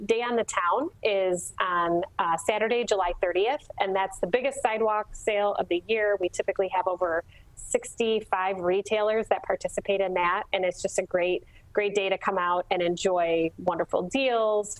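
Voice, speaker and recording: A woman's voice: medium pitch, fairly even intonation, moderate pace, poor audio. A middle-aged woman giving event information as an interview guest over a video call on an earbud mic. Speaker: interview guest, shopping district representative. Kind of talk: informational interview talk about local events. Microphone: earbud headset on a video call.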